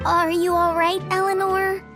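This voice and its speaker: High-voiced earnest young boy. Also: flat delivery, measured pace, music in the background. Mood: concerned, earnest. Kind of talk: English video game voice acting.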